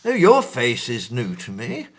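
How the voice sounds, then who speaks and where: An actor playing a distinguished, bookish gentleman of the 1820s who hesitates often and speaks in a grand, fussy way. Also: mid-range voice, wildly swooping pitch, measured pace, breathy edge, exaggerated voice. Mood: courteous. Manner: hesitant, full of ums and uhs, fussy and grand. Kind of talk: amateur stage play audio.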